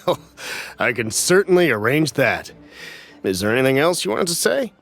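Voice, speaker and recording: A gentle, hospitable elder from a video game. His voice sits at a medium pitch with expressive rises and falls. He speaks politely and kindly, with faint music underneath. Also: normal speed, creaky. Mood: kindly, amused. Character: courteous, gentle, hospitable old man.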